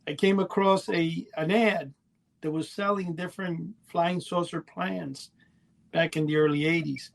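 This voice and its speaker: A man's voice: a little high, fairly even intonation, measured pace, creaky. A middle-aged man chatting casually as a podcast co-host, explaining in a rambling way and correcting himself as he goes.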